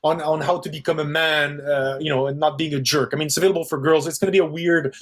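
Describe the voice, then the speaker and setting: A middle-aged man with a Parisian French accent, a film director chatting in an interview, animated and quick, often restarting his sentences. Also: fairly high pitch. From Quebec, Canada. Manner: animated storyteller, quick bursts, frequent restarts. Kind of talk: casual interview chat.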